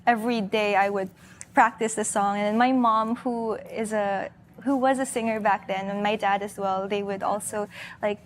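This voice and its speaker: A woman's voice: medium pitch, steady tone, normal speed, a little hiss or hum. A young woman from the Philippines, chatting as a guest in an interview with a giggly, chatty manner and many fillers. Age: young adult. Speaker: actress guest. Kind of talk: casual interview talk.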